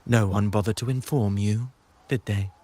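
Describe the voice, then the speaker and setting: A man's voice: lowish voice, fairly even intonation, moderate pace, warm tone, no room sound, lightly acted. A man playing a pompous, menacing acolyte of a religious order in a video game, speaking in formal, threatening phrases. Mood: smug taunting. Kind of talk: scripted video game voice acting.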